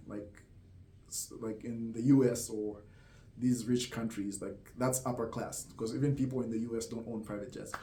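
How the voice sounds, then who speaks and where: Mellow-voiced man musing in a roomy space. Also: medium pitch, a little hiss or hum. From Zambia. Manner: hesitant, many fillers and soft laughs. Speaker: vlogger. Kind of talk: casual reflective monologue. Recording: roomy echo.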